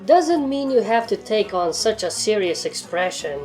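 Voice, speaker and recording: A woman's voice: medium pitch, big pitch swings, normal speed, a little mellow, pitched above the performer's own voice, natural voice. A precocious storybook filly, voiced by a woman, talks like a grown-up. She is self-assured, nonchalant and ready to argue, with music behind her. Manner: nonchalant, argumentative.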